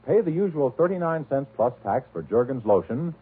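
Vintage lo-fi radio, American male announcer, very raspy, salesmanlike, scripted. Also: medium pitch, very animated, fairly quick. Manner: polished salesmanlike delivery. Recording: muffled, band-limited 1940s radio broadcast.

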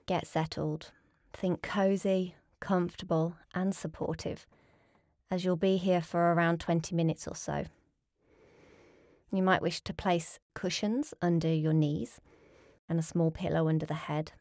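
A woman with a fairly low voice guides a restful meditation. She gives calm, soothing instructions and leaves gentle pauses between phrases. The recording is close and dry, with little room sound. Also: no room sound. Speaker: yoga nidra teacher.

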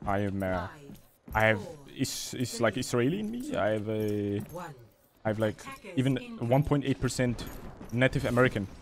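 A young man streaming a video game, calling to his team fast and excitably and swearing freely. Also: mid-range voice, a crowd in the background. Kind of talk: live gameplay commentary with team callouts.

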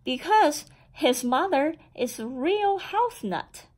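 Woman, high voice, very slow pace, expressive picture-book read-aloud, children's storyteller, deliberate phrasing. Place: indoor room with framed certificates on the wall.

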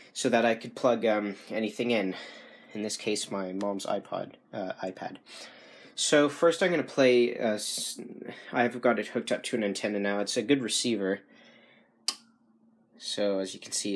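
A young man giving a casual technical demonstration, halting and correcting himself, recorded indoors on a handheld camera. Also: lowish voice. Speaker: hobbyist radio restorer. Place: small furnished room indoors. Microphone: handheld camera, behind it.

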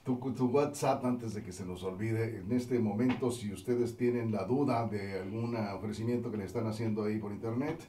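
A man's voice: on the low side, fairly even intonation, normal speed, hardly any pauses, dark tone, roomy sound, far from the mic, slight background noise. A male radio host with a Mexican accent, asking questions and chatting with a guest. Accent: Mexican Spanish. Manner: conversational, prompting questions. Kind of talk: radio interview.

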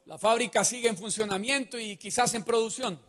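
A man's voice: extremely high pitch, a little fast, fairly bright tone. A man preaching a sermon on a large church stage into a handheld mic. He speaks emphatically and keeps putting questions to the congregation. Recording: reverberant church hall.

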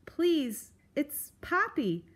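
A woman's voice: high voice, sing-song, drawn-out words, pitched above the performer's own voice, full character voice. A woman voicing a frightened young female mouse who stammers and pleads in a storybook reading. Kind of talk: storybook read-aloud.

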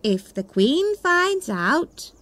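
A woman voicing an anxious playing-card gardener in a children's storybook, fearful and drawn-out. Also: sits high, big pitch swings, a bit bright, pitched above the performer's own voice, full character voice.

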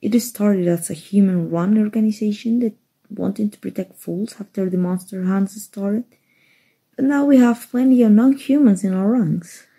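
A woman acting a kind woman rescuer in an ASMR roleplay. Her voice is very breathy and husky, dark and soft, with some gravel in it. She speaks gently and earnestly, reassuring the listener. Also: medium pitch, normal speed. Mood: gentle, helpful. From Mexico.

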